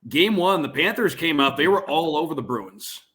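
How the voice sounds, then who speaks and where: A man's voice: a little high, lively intonation, normal speed, a bit bright. A man who works as a radio play-by-play announcer, giving conversational sports analysis as a podcast guest.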